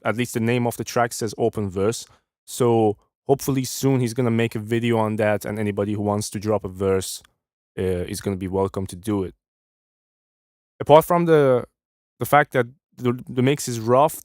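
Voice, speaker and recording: A man's voice: mid-range voice, a little mellow, dry recording, clean studio sound. A young man from Iran, hosting a live stream and giving casual, friendly feedback on music, often thinking aloud and restarting his sentences. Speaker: host. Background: faint music playing.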